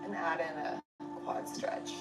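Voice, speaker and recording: Woman teaching yoga in an echoey room. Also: a little slow. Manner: encouraging, step-by-step cueing. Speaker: yoga instructor. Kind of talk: guided yoga class instruction. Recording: echoey room, distant mic, music behind. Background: music.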